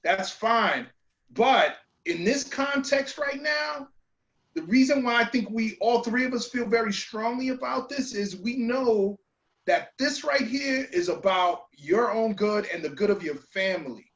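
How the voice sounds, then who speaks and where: A man's voice: very high-pitched, measured pace, fairly bright tone. A man from New York co-hosting a legal talk show, making earnest, emphatic appeals. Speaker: lawyer co-host. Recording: echoey dull remote audio.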